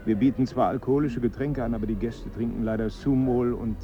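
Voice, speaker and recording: An actor playing an opinionated, grumbling café waiter with a disdainful, matter-of-fact manner in a radio drama. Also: male voice, mid-range voice, steady tone, brisk, lightly acted. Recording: dull sound with music behind.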